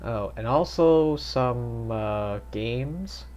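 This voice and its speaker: A man's voice: mid-range voice, extremely slow, darkish tone. A man streaming a video game live, chatting casually and reacting aloud with laughter. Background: faint game music.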